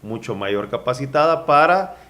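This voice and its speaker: A man's voice: medium pitch, expressive, normal speed, bright, forceful tone. A man interviewing a guest, asking long, reasoned questions and backing him up with short affirmations.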